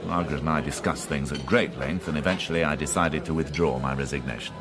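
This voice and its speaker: An actor in a radio drama playing a wry, world-weary, pedantic police inspector. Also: male voice, a bass voice, steady tone, fast talker, music in the background. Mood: wry, reflective.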